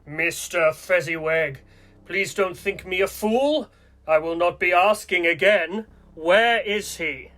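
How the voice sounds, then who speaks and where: Man acting gentlemanly villain Santa, radio play, high-pitched, bright hard edge, polite, threatening. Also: slow, exaggerated voice. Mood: cold threat. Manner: courteous, formal, threatening.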